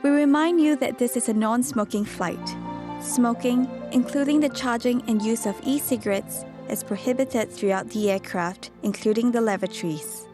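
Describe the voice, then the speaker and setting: A woman's voice: fairly high pitch, normal speed. A woman giving scripted safety narration for airline passengers. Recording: loud music under the voice. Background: music.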